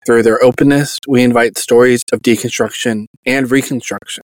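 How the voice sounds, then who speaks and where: A man's voice: medium pitch, fairly even intonation, moderate pace, dry recording, poor audio. Earnest young man hosting a podcast. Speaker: podcast host. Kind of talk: scripted podcast intro.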